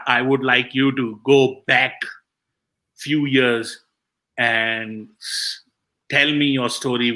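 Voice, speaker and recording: A man's voice: mid-range voice, sharp tone, rough recording. A man hosting an interview over a video call, enthusiastic and effusive, often repeating words as he talks. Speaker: host.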